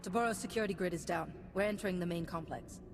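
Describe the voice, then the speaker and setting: A woman's voice: lowish voice, fast talker, vocal fry, music in the background. A woman playing a tough, confident Jedi heroine in a game, firm, assured and direct. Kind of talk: game cutscene acting.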